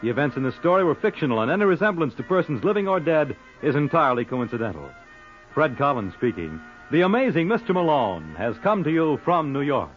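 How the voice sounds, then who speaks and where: A man's voice: on the high side, big pitch swings, brisk, faint music behind. A man with an American accent reading scripted closing credits as a 1940s radio announcer. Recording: dull old radio recording.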